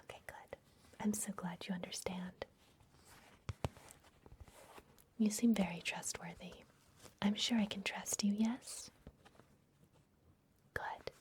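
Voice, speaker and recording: A woman playing a gentle, secretive vampire librarian in an ASMR fantasy roleplay, speaking in a soft, breathy, confiding whisper. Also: mid-range voice, fairly even intonation, natural voice. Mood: secretive, reassuring. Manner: gentle, hushed, confiding, unhurried.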